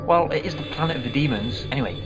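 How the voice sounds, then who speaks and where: A man voicing a brisk, eccentric, time-travelling alien adventurer in a fan audio drama. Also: on the high side, expressive, lightly acted. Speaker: lead character. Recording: slightly muffled, over loud music.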